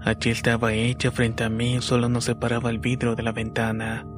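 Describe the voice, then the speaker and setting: A man reading a scripted horror story as a narrator, in a measured, deliberate way. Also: medium pitch, monotone. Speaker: narrator of listeners' paranormal stories. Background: background music.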